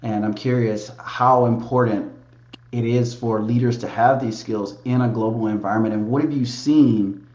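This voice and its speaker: Male podcast host, halting questions, roomy muffled sound. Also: mid-range voice, fairly even intonation, a little mellow. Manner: halting, with many fillers. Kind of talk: interview questions.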